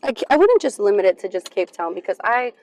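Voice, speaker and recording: A woman's voice: medium pitch, sing-song, fast talker, a little mellow. An American woman from Florida chatting as an interview guest, talking in a loose, laughing way with lots of "like". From Florida, USA. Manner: chatty, many "like" fillers, laughs easily. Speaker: guest influencer and dancer. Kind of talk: casual interview chat.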